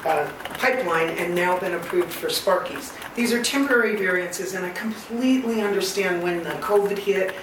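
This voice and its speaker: Loud-voiced woman in an echoey meeting hall. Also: on the low side, moderate pace, hardly any pauses. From Northern California. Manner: earnest, detailed, persistent. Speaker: public commenter. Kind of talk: public comment at a meeting. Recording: echoey hall, far from mic, noisy.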